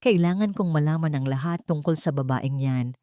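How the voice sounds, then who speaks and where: A woman's voice: low-pitched, moderate pace, dark tone, no echo, studio quality, lightly acted. Woman voicing a scheming, coldly sweet rich young woman. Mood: determined. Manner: sweet, coaxing, menacing.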